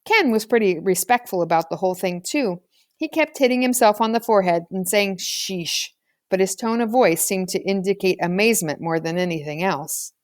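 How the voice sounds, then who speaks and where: A woman's voice: mid-range voice, brisk, darkish tone. A woman reading a children's novel aloud as scripted audiobook narration. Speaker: audiobook reader.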